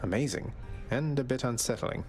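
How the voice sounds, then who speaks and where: An actor playing a young, courteous prince of the underworld in a video game, dry and sardonic. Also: male voice, medium pitch, lively intonation, moderate pace, faint music behind, natural voice. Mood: wry. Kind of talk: scripted video game dialogue.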